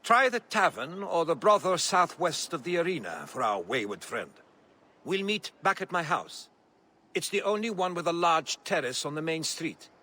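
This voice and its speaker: An actor playing an earnest, educated Greek politician in a video game. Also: male voice, on the high side, very animated, moderate pace, bright, forceful tone, heavily acted. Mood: purposeful.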